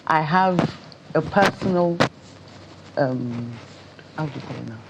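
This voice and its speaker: A woman's voice: low voice, measured pace, vocal fry, highs cut off, heavy background noise. A woman co-hosting casual television chat, talking in an insistent, rambling way. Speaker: TV co-host.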